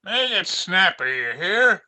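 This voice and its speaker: Man playing a sharp, high-pitched belligerent barroom drunk. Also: sing-song, takes it slowly, full character voice. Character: belligerent male drunk demanding rye at a bar. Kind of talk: 1940s radio drama acting.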